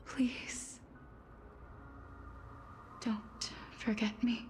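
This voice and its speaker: A woman voicing a frail, wistful, tender young woman in a video game, breathy and faint. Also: fairly high pitch, level tone, drawn-out words, a little mellow. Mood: pleading. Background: game music.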